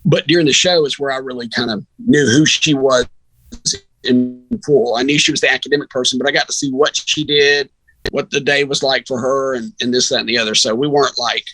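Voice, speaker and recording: A man's voice: mid-range voice, fairly even intonation, a little fast, bright, forceful tone, no room sound, low-quality recording. A man who works as a sports radio announcer, talking casually as a guest in a rambling, anecdotal way. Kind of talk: casual sports chat and storytelling.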